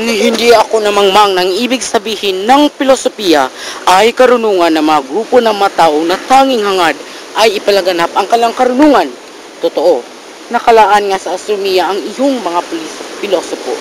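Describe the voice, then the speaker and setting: A young man plays a polite, earnest young nobleman at school in an amateur drama. His voice sits fairly high. He speaks formally and sometimes trips over his words. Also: slight background noise, rough recording. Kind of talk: amateur student drama acting.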